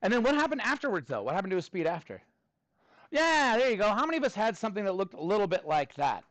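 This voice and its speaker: High-voiced man teaching a classroom. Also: fast talker, no room sound. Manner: animated, informal, encouraging. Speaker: middle school math teacher. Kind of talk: classroom teaching, talking with students.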